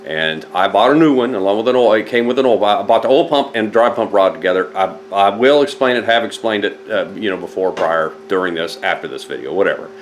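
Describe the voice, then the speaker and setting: A man's voice: on the low side, fairly quick, hardly any pauses, slight background noise. A man hosting a car rebuild video, talking casually and rambling, correcting himself as he goes and sounding stuffed up from a head cold. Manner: rambling, self-correcting, talks while hunting through boxes. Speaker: home mechanic video host. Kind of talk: casual garage vlog.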